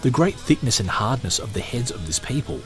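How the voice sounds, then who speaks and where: A man hosting a podcast, chatting with many hesitations, then reading a book aloud in a steady, measured way. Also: medium pitch. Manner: hesitant, filler-heavy chat between measured reading. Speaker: podcast host. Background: faint guitar music.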